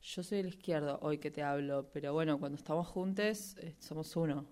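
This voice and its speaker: A woman's voice: low-pitched, moderate pace, a little mellow, lightly acted. A woman with an Argentine accent improvising as a vain, chatty, flirty tango shoe in an affected, slangy drawl. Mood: earnest, chatty. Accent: Argentine Rioplatense. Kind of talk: improvised radio theatre interview in character.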